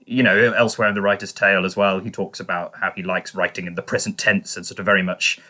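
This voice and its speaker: Male co-host, lowish pitch with a crisp bright edge, conversational analysis, tentative phrasing. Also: flat delivery, fast. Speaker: podcast co-host. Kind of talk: casual analytical discussion.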